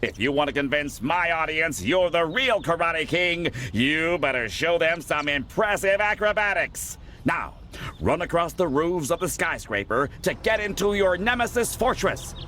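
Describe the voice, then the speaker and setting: A man's voice: a little high, expressive, normal speed, pushed and bright, slight background noise. A man voicing a flamboyant, demanding film director in a cartoon video game, theatrical and commanding. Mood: impatient. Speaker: game voice actor. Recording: music behind the voice.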